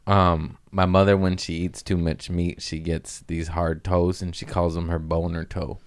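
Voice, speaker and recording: A man with a low-pitched voice hosts a loose comedy podcast. He chats casually and jokily, with plenty of slang and swearing. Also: level tone, measured pace. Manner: slangy, profane, jokey. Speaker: podcast host.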